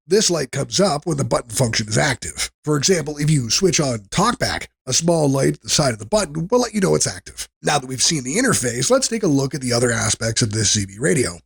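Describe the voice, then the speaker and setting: A man narrating a scripted product review in a measured, explanatory way. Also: mid-range voice, creaky, slightly rough.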